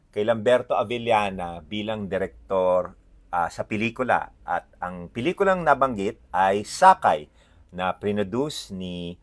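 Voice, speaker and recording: A man with a Filipino accent presents a picture book in an informal read-aloud style. His voice sits on the low side. He moves through his commentary haltingly, often pausing to find the next word.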